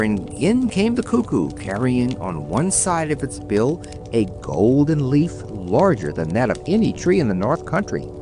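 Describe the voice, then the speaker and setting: A man narrating a scripted bedtime fairy tale dramatically as a storyteller. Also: mid-range voice. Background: background music.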